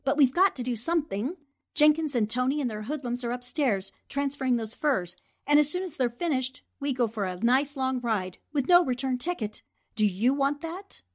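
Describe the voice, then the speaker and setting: An actress playing a resolute, quick-witted newspaperwoman in a 1940s-style radio drama. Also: sits high, expressive. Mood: urgent, resolute. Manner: decisive, urgent instructions. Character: Anne Rogers, quick-thinking, resolute newspaperwoman heroine.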